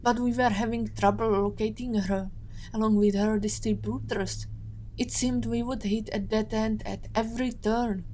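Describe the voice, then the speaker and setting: A woman playing a composed, guarded captive agent in an audio drama, with measured and formal phrasing. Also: a little high, steady tone, a little mellow, a little hiss or hum, close to an everyday voice, low-quality recording. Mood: grave, explaining.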